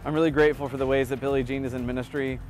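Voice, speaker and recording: A man's voice: mid-range voice, little pitch movement, very fast, a little mellow, soft background music. A man in his thirties speaking earnestly and conversationally outdoors on a city street in an interview. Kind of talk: interview testimony.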